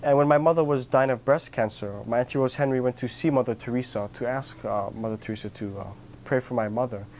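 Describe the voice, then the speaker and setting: A man's voice: medium pitch, fast, a little mellow, a little hiss or hum. An earnest young man from Hawaii talks sincerely in an interview, his thoughts broken by fillers and restarts. He is recorded outdoors on a garden patio, with a faint ambience behind his voice. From Kauai, Hawaii. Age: young adult. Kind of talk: reflective personal interview.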